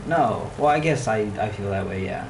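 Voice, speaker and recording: A man's voice: fairly low pitch, lively intonation, moderate pace, gravelly, noisy background. A young man in his late teens chatting casually while streaming a VR game, rambling and saying "dude" a lot. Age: young adult. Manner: laid-back, rambling, lots of "dude" and "like". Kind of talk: casual chat while gaming. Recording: distant mic under loud game music.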